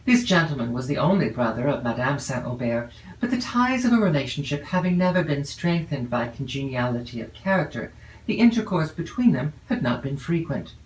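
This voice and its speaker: An echoey home recording of a woman narrating an old literary novel. She reads formally and with care, setting each phrase down deliberately at a measured gait. The room is clearly audible around her voice. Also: low voice, slight background noise. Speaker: audiobook narrator.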